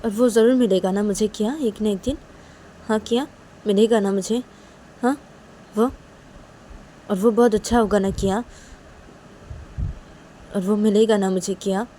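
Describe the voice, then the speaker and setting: A woman voicing a heartbroken young person who softly asks for reassurance. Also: medium pitch, steady tone, measured pace, pauses often, slight background noise, close to an everyday voice. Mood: hopeful. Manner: soft, seeking comfort.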